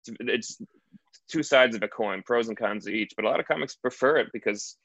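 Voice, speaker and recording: A man who works as a stand-up comedian, chatting casually in an interview and telling stories with quick asides. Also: on the low side, no echo. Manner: animated storyteller, quick asides.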